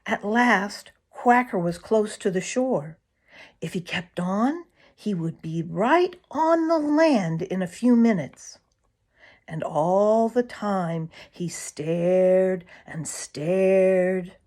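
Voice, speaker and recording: A woman reading a children's storybook aloud as a storyteller, with dramatic swells and emphatic pauses. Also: medium pitch, lively intonation, a little mellow. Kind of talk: expressive children's storybook narration.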